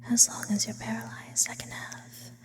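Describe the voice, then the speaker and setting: A woman voicing an immortal, brooding, lonely sleep paralysis demon in an ASMR roleplay, whispering slowly with eloquent, philosophical phrasing. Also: lightly acted. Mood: teasing, menacing. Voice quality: breathy whisper. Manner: slow, philosophical, ornate wording with lingering pauses. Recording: close whisper with long reverb.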